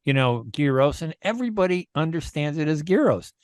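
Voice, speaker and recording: A man's voice: a little high, animated, fairly quick, clear, resonant tone, dry recording. Dry-miked chatty male radio host. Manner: chatty, opinionated, thinks aloud with fillers. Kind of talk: casual radio conversation.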